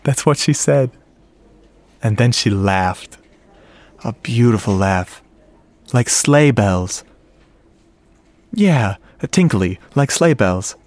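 A man playing a shy, earnest, nerdy sound-effects man, telling his story conversationally and haltingly in a radio drama, with a wistful manner. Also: mid-range voice, lively intonation, darkish tone. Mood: wistful, smitten. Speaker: narrator and lead character. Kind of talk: first-person radio drama monologue. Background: music and sound effects.

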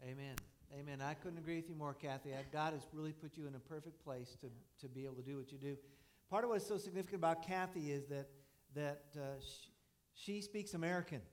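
A man's voice: fairly high pitch, fast talker. A man hosting an informal church interview, speaking affirmingly to a congregation and often repeating or restarting his phrases. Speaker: church host interviewing guests.

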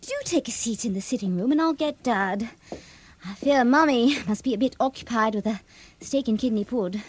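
A woman's voice: fairly high pitch, moderate pace, close to an everyday voice. An actress playing a love-struck teenage schoolgirl, flustered and affectedly formal, in a radio drama. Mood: flustered, polite.

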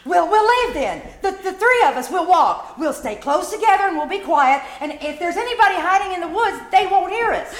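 A woman's voice: very high-pitched, very animated, a little fast, hardly any pauses. A woman acting a defensive, desperate stranded stranger in an old-time radio suspense play, agitated and melodramatic. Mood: uneasy.

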